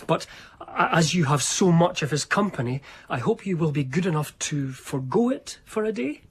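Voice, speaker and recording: An actor playing an eager, ingratiating gentleman, courteous and wordy, in a period radio drama. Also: on the high side, sing-song, moderate pace, breathy edge. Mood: pleading. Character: eager, ingratiating Scottish gentleman, self-satisfied narrator.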